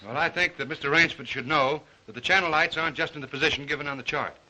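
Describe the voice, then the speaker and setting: An actor playing an earnest, cautious ship's captain in 1930s film style, worried and deferential. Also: male voice, a little high, quick pace, bright, forceful tone, dull audio. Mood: urgent.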